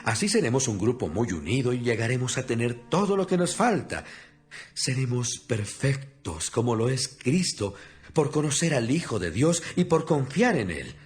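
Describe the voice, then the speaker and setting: A man narrates an audio Bible with a wide, lively swing in intonation. His delivery is earnest and instructive, in the manner of dramatized scripture reading. Music plays clearly behind his mid-pitched voice. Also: moderate pace. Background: background music.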